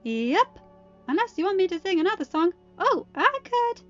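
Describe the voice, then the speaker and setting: A woman voicing a cheerful, hyper male pony who talks in a bouncy, excitable sing-song. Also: extremely high pitch, normal speed, dull audio, faint music behind, voice pushed up. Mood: gleeful.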